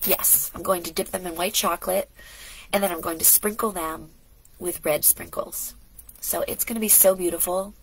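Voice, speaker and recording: A woman's voice: lowish voice, steady tone, a little slow, long pauses, slight background noise, low-quality recording. A middle-aged woman vlogging casually at home, chatty and rambling, a bit congested from a cold. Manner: rambling, chatty, talks in asides and restarts. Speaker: lifestyle vlogger. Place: home studio indoors.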